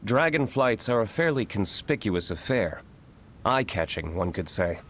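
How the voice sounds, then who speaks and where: A man playing an aloof, brooding young nobleman in a video game, speaking in a deadpan, clipped, formal way. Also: on the low side, unhurried, a little hiss or hum. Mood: dry, aloof.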